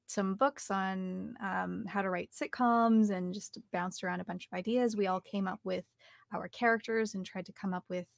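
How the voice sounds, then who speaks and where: A woman's voice: medium pitch, gravelly, dry recording. A young adult woman answering questions in a conversational interview, recorded close up at home. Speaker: actor and voice artist being interviewed. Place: home recording studio, indoors.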